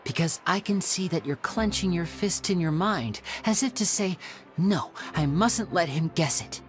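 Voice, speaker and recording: Suave, light-voiced young magician, expressive. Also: male voice, sits high, measured pace, faint music behind. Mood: playful. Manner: theatrical, articulate, teasing. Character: young male stage magician, suave, playful, confident. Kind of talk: video game character acting.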